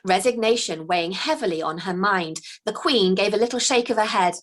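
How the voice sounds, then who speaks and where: A woman, a children's author, reading her own book aloud with gentle, expressive storytelling, then chatting about the next book. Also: mid-range voice, fairly quick.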